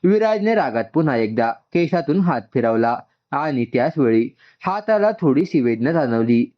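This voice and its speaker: A man's voice: medium pitch, big pitch swings, moderate pace. A man reading a scripted story as a narrator, acting out its dialogue, on a slightly muffled recording. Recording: dull, muffled lo-fi sound.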